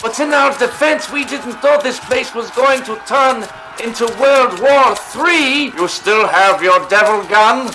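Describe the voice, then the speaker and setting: A man's voice: extremely high pitch, a little slow, hardly any pauses, pushed and bright, heavily acted, rough recording. A man acting a panicky, sarcastic, complaining character in an audio comedy drama, with a whiny, exclaiming delivery. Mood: exasperated. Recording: music behind the voice.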